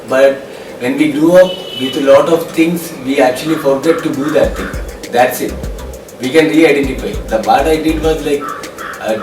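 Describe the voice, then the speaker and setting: Indian male acting trainer, mid-pitched, halting and repetitive phrasing, informal explainer, music clearly behind. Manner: halting, repetitive non-native English phrasing. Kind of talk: informal spoken explanation to camera. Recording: roomy, distant mic, music behind.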